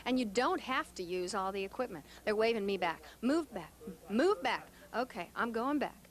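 A woman's voice: on the high side, lively intonation, normal speed. A woman from Texas, a television reporter doing a chatty, informal live interview on location. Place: indoors at a cable TV studio and edit room. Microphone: handheld stick microphone. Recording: noisy live TV location sound.

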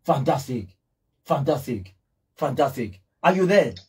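A man's voice: mid-range voice, big pitch swings, a little slow, darkish tone, breathy edge. A middle-aged man hosting a radio interview from a small studio, teasing his guest and repeating words for emphasis. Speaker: radio show host. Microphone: studio mic with headphones.

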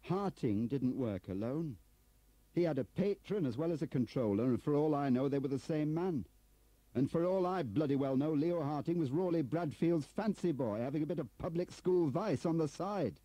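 A man's voice: rumbling low, animated, normal speed, dark tone, breathy edge, dry recording, heavily acted, poor audio. A man voicing a blunt, bitter British investigator in an audiobook, with a sarcastic, needling manner. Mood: sardonic, goading.

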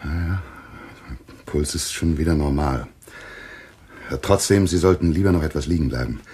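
An actor playing a calm, courteous but firm family doctor in a German thriller radio play. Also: male voice, extremely low pitch, moderate pace, husky. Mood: reassuring, apologetic.

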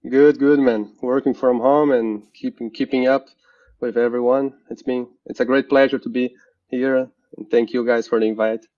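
A man in his forties from Brazil with a Brazilian accent, speaking haltingly as a remote interview guest over a video call. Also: medium pitch, fairly even intonation, no echo. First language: Portuguese. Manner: halting, many "uh" restarts. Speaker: company founder and guest. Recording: dry dull video-call audio.

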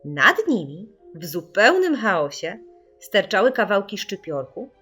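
A woman reading a children's story in an expressive, theatrical storytelling manner. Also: medium pitch, unhurried, breathy edge, soft background music. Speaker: audiobook narrator.

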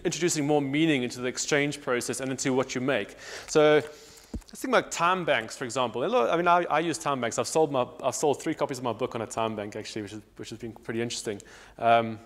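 A man in his thirties with a South African accent, giving a public lecture, thinking aloud with many restarts and fillers. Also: fairly high pitch. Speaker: guest speaker. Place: large hall with marble wall. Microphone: lapel. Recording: long hall reverb.

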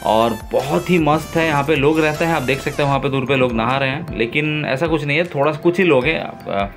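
A man narrating his own travel vlog in a relaxed, enthusiastic way, pausing often with fillers, filmed on a phone outdoors on a river boat. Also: fairly high pitch, music in the background. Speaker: travel vlogger.

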